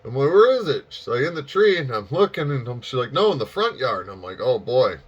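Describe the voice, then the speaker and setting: A man making casual amateur radio small talk in a halting, folksy way, with a dull, muffled sound. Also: mid-range voice, sing-song, slightly rough. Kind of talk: casual radio contact chat.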